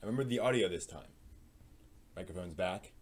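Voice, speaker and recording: A man's voice: deep voice, a little fast. A man hosting a live stream, giving opinionated commentary on anime in a rambling, thinking-aloud way.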